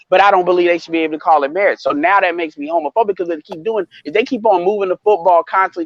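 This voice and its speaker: Man, high voice, heated emphatic panelist, swears, dull lo-fi call audio. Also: dry recording. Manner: animated, emphatic, swearing, restarts. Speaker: live stream panelist. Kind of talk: heated casual discussion.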